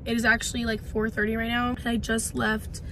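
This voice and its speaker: A woman in her twenties talks casually into a phone from her parked car, her voice stuffy and partly gone. She rambles with lots of likes, in a slightly high, flat voice. Faint car noise sits behind her. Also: brisk. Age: 20s. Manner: chatty, rambling with many likes. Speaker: vlogger. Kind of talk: casual chat to camera. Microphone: phone camera at arm's length.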